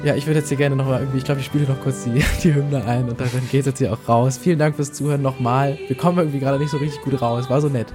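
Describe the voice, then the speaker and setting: A man's voice: medium pitch, steady tone, racing, few pauses, over music. A man, chatting casually and a bit ramblingly as a podcast host on a call. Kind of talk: casual phone conversation. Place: makeshift room away from usual studio. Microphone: good podcast microphone.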